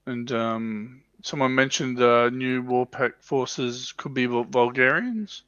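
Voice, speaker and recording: A man with a mid-pitched voice chats informally as a livestream co-host, thinking aloud as he goes. The sound is dry and close, but somewhat muffled and lo-fi. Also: takes it slowly, a bit bright, dry recording. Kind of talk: casual hobby chat.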